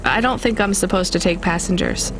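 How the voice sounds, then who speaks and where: A woman's voice: lowish voice, lively intonation, fairly quick, background noise. An actress playing a young woman delivery driver in a video game, a plain-spoken, guarded newcomer to the city. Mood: reluctant, polite.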